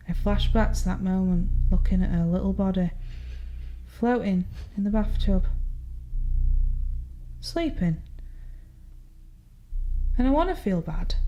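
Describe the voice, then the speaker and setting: An actress plays a woman murderer giving her confession from behind bars. She speaks at a medium pitch, numb and chillingly candid, repeating herself haltingly. It is intimate horror podcast drama with no remorse in it. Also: warm tone, natural voice, poor audio.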